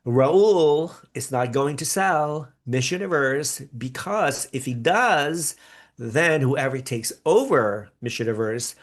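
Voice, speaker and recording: A man's voice: mid-range voice, lively intonation, takes it slowly. A man born in the Philippines who grew up in America, hosting a live reaction stream in a rambling, chatty way with upbeat bursts. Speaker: podcast host reacting live to a pageant. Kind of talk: live-stream reaction chat reading viewer comments.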